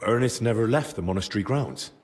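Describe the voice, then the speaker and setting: An actor playing an earnest, inquisitive young adventurer investigating in a medieval game, plain-spoken and probing. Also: male voice, fairly low pitch, animated, brisk, a little mellow, natural voice. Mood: explaining. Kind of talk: dramatic video game cutscene acting.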